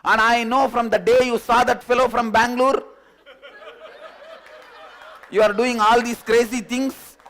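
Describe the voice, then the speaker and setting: A man voicing a scolding young girlfriend, firing off rapid nagging questions. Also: extremely high pitch, flat delivery, a bit bright, voice pushed up, full character voice.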